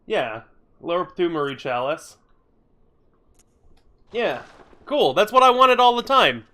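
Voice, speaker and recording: A man streaming a video game and chatting to his viewers, his voice a little high and stuffy from a lingering cold. He talks slowly and rambles, grumbling and swearing casually as he plays. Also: very animated, bright, forceful tone. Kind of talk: casual live-stream chat while playing.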